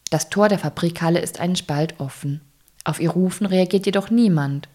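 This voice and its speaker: A woman's voice: fairly low pitch, moderate pace, warm tone. A woman narrating an audio drama from a script, describing scenes in the present tense.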